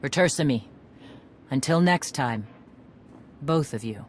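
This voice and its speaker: A woman's voice: rumbling low, expressive, measured pace, a little hiss or hum. A woman voicing a tough, blunt female warrior clan leader, battle-weary and commanding, in a video game cutscene. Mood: blunt, decisive.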